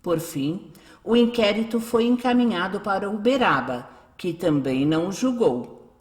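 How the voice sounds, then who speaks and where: A woman's voice: medium pitch, lively intonation, a little slow, darkish tone. A woman giving scripted narration for a radio drama. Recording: roomy echo, faint music behind.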